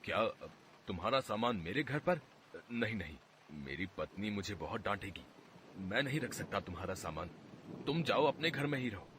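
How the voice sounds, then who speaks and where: A man's voice: on the low side, lively intonation, moderate pace, background noise, close to an everyday voice. A man voicing a selfish village brother in a cartoon story, talking in a curt, dismissive way.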